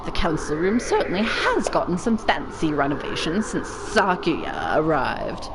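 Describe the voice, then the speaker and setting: A woman's voice: sits low, very animated, a little slow, vocal fry, background noise. A woman giving a spontaneous dramatic reading of a visual novel as a let's play narrator, over game music. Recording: music playing behind the voice.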